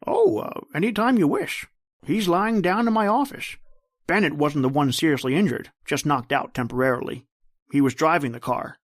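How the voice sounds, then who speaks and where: A man's voice: a little high, expressive, normal speed, no room sound, clean studio sound. A man playing an older, kindly, fatherly doctor in a radio drama, speaking in a folksy, hesitant, encouraging way. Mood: casual, hesitant. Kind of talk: old-time radio drama acting.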